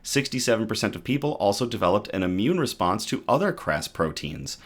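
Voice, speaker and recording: A man's voice: lowish voice, big pitch swings, brisk. A man hosting a good-news show, reading news articles aloud and breaking off for casual asides to his live chat. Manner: stumbles and restarts over technical terms.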